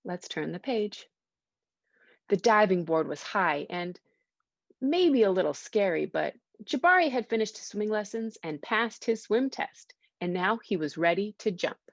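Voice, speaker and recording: Female children's story reader: expressive, child-directed, medium pitch. Dry, slightly muffled recording. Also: a little slow, vocal fry, dry recording. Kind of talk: read-aloud storytelling with questions to children. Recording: dull, lo-fi sound.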